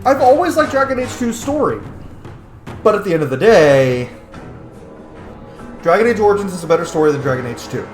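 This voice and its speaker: Man, lively swooping pitch, blunt sweary let's-play commentary, casual and opinionated, music underneath. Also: a little fast, pauses often, roomy sound. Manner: blunt, swears freely, emphatic. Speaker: gaming channel host.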